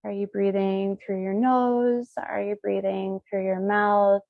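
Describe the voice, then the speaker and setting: Woman doing a guided reiki meditation, really slow n soothing, bit of vocal fry, kinda dull recording. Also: medium pitch, little pitch movement, no echo. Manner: soothing, unhurried, pausing between short phrases.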